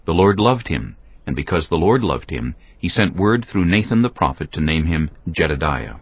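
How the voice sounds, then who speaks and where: Male narrator, very low gravelly voice, scripture storytelling, slightly muffled with music behind. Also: sing-song, normal speed. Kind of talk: scripted dramatized Bible narration.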